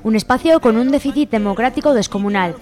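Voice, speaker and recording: A woman's voice: on the high side, expressive, fast, a little mellow. A woman with a Spain Spanish accent co-hosting a radio show and reading a scripted political editorial. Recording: music bed behind the voice. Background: music.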